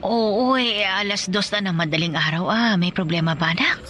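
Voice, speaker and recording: A woman's voice: mid-range voice, moderate pace, a crowd in the background, close to an everyday voice. Woman playing a worried, loving middle-aged mother. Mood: worried, tender. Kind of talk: Filipino radio drama acting.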